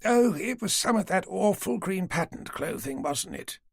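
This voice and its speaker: A woman's voice: mid-range voice, lively intonation, normal speed, vocal fry, husky, dry recording, studio quality, voice pushed up. A man reading an audiobook, voicing a frail, forgetful elderly woman who was once a nurse, in a genteel, rambling manner. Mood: chatty. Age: older. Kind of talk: audiobook character voice.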